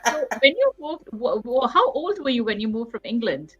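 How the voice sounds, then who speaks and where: A woman's voice: a little high, muffled recording, soft background music. A woman hosting an author panel, chatting animatedly and laughing readily as she asks questions. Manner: animated, quick to laugh and chime in. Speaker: panel host. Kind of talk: lively casual panel talk.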